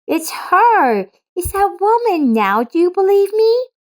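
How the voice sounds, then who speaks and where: A woman voicing an excited, insistent little girl in a narrated story, with an eager, emphatic delivery. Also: very high-pitched, slow, pitched above the performer's own voice, heavily acted. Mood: urgent.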